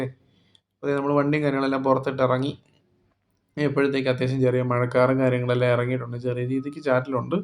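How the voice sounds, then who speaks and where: A man's voice: mid-range voice, a little mellow. A man from Kerala narrating his day vlog-style in a rambling, casual way, full of fillers. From Kerala, India. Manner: rambling, heavy on fillers like "okay" and "and things". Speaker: vlogger narrating a bus-business roleplay.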